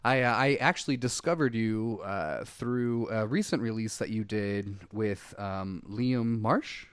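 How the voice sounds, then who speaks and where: A man's voice: on the low side, measured pace, smooth, no echo. A man hosting an interview over a call, talking casually and rambling with many fillers. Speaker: podcast host. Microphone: headphones on a call.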